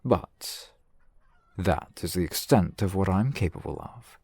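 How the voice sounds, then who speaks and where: A man acts out a shrewd, courteous male shopkeeper in a fantasy ASMR roleplay. His voice is low and husky, moving through formal, polite phrases with lively rises and falls. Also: dry recording, full character voice. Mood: thoughtful. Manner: formal, wordy, measured, polite. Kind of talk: ASMR roleplay, scripted character acting.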